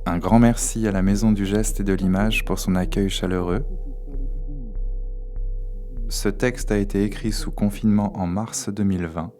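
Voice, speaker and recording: A man's voice: fairly low pitch, steady tone, normal speed, long pauses, mellow, faint music behind. A man reading out scripted credits as the announcer of an audio fiction.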